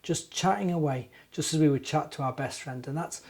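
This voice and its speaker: Guy doing kids story time, soft mellow tone, talks right to the little ones. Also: fairly high pitch, a little fast. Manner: asks the young listeners questions. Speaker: minister reading to children. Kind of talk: children's story time, narration and gentle talk.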